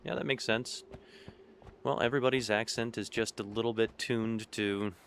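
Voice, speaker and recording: A man's voice: on the low side, moderate pace, slight background noise. A young man with a Michigan accent, chatting casually with his stream chat while playing a game. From Michigan, USA. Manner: relaxed, musing, talks to chat between game moves.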